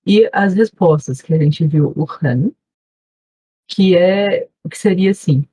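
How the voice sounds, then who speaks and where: A woman's voice: fairly low pitch, measured pace, warm tone, husky, no echo, rough recording. A woman with a Brazilian Portuguese accent, a volunteer teacher giving an online class review, explaining patiently and checking in often with her students. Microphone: video call.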